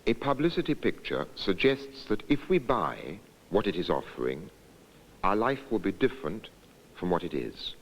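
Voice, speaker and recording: A man giving scripted documentary narration in a measured, deliberate, reflective way. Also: low voice, very animated, poor audio.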